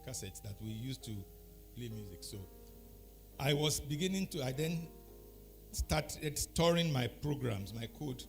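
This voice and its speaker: A middle-aged or older man with a Nigerian accent gives an anecdotal talk, explaining deliberately in short phrases with pauses. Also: medium pitch. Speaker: speaker giving a talk.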